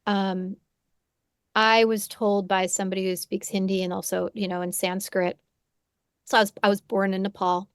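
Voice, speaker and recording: A woman's voice: medium pitch, flat delivery, normal speed, clear, resonant tone, dry recording, studio quality. A woman in her forties, a podcast host and psychotherapist, speaking thoughtfully in conversation, often restarting and checking in with "right?". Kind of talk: reflective conversational interview. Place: home room. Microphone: headphones on a video call.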